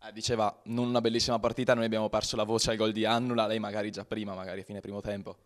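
A man's voice: fairly low pitch, level tone. Italian man interviewing after a match. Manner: halting, filler-heavy when translating. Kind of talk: post-match interview, switching to translating. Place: press backdrop after a match. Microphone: handheld mic.

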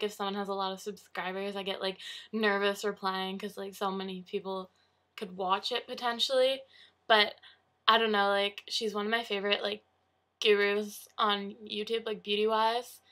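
Giggly Canadian young woman, bright voice. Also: medium pitch. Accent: southern Ontario Canadian. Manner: chatty, lots of "like" fillers, giggly. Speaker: vlogger. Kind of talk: casual accent tag video. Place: bedroom, indoors. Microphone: webcam at close range.

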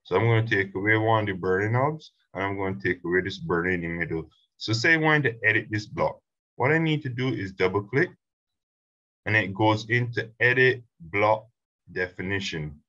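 Low-voiced man explaining a software tutorial. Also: moderate pace, lo-fi sound. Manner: relaxed step-by-step explaining with fillers. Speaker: instructor. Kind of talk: screen-recorded software tutorial.

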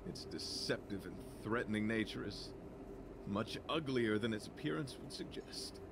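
An actor playing an earnest, poetic, courtly knight in a video game, with a formal, theatrical and solemn delivery. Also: male voice, on the low side, moderate pace, background noise, natural voice.

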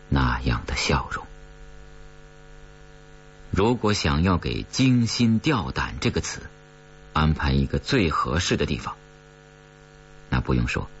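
A man reading a scripted horror story as an audiobook narrator, in a dramatic storytelling manner. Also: a bass voice. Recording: dull recording with mains hum.

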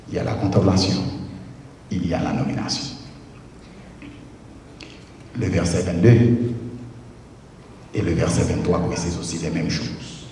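A man preaching a deliberate, measured wedding sermon from a pulpit, holding a handheld microphone in a large church. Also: low-pitched, dark tone, a little hiss or hum. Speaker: pastor. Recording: echoey church hall from far off.

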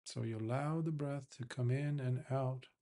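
A man, a Buddhist monk, leads a guided meditation in an unhurried, measured way, leaving long pauses between short phrases. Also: medium pitch, warm tone.

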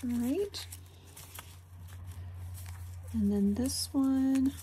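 A woman's voice: high voice, extremely slow, warm tone. A woman giving a casual, chatty, enthusiastic craft flip-through, narrating informally as she presents handmade items. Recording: clear background noise, handling clicks.

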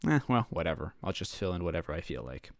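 A man casually reading a fan story aloud, rambling between passages and clearing his throat. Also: low-pitched, big pitch swings, fast, dry recording, clean studio sound. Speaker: reader and narrator.

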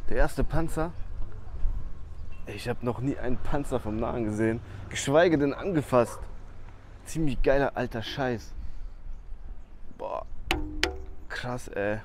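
A young man filming himself at arm's length outdoors by a beach, chatting excitedly and in slang to his viewers as a travel vlogger. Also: mid-range voice, slow, pauses often, a little hiss or hum. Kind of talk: casual travel vlog. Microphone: handheld camera at arm's length.

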